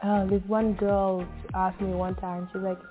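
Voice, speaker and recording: A woman's voice: medium pitch, level tone, a little slow. A young woman, a model, telling an anecdote with incredulity and many "like" fillers. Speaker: fashion model. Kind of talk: interview anecdote. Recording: phone-like sound with faint music.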